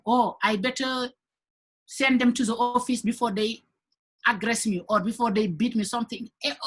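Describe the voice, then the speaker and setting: A woman from Senegal who teaches high school talks earnestly in a panel discussion, often repeating words as she works toward her point. Her voice is a little husky and sits fairly high. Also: rough recording.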